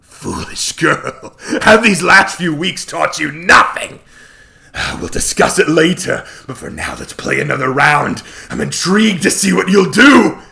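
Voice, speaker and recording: A man's voice: fairly high pitch, sing-song, a little slow, gravelly, sharp tone, slight background noise, voice pushed up, exaggerated voice. A man voicing a gleeful, sadistic villain god in a dramatic story reading, theatrical, gloating and mocking. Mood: mocking, menacing. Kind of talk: dramatic audiobook character voice.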